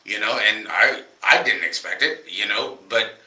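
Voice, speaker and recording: A man's voice: on the low side, measured pace, sharp tone, recorded from a distance. A man co-hosting a casual livestream chat, loose and profane, slightly nasal from a cold. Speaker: podcast co-host. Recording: roomy echo, off-mic at times.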